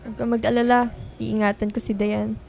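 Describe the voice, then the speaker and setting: A woman's voice: fairly high pitch, little pitch movement, a little fast, gravelly, a little mellow, a little hiss or hum. A woman playing a loyal, guarded young best friend with a blunt, natural delivery in radio drama dialogue. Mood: teasing, reassuring.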